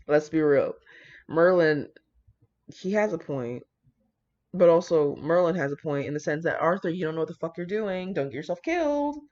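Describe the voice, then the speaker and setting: A woman with a fairly low voice, recorded in muffled lo-fi sound. She talks casually as a video creator reacting to fan fiction, with a brash, slangy, theatrical delivery. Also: a little mellow. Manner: brash, profane, slangy, exclamatory, dramatic. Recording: dull lo-fi audio.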